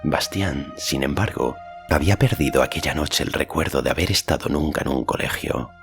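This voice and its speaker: Very deep-voiced male storyteller. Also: normal speed, music in the background. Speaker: audiobook narrator. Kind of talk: scripted literary narration.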